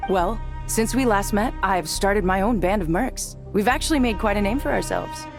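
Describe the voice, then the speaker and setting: A woman's voice: medium pitch, moderate pace, music in the background, lightly acted. A woman playing a young, tough and laid-back desert mercenary in a video game, with a casual, understated delivery. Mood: offhand, confident.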